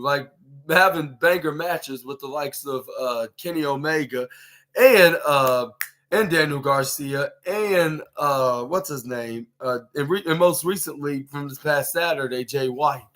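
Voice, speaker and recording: A man's voice: on the high side, unhurried, a bit bright. A man hosting his own podcast, recapping a show in a rambling way with many fillers and restarts. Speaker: podcast host. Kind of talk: casual solo show recap.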